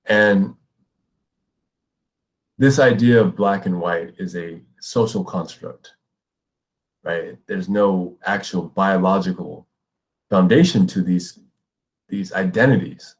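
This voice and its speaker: Male, American accent, very low voice, teacher giving a lecture, explanatory delivery. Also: slow, long pauses. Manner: explanatory, often checks with "right?". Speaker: middle school ethnic studies teacher. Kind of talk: educational lecture with read-aloud passages.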